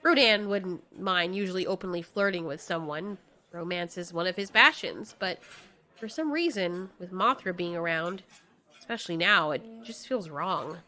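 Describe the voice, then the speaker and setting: A woman's voice: lowish voice, moderate pace, bright, forceful tone. A woman reading scripted narration for an audio drama in a dramatic storytelling manner.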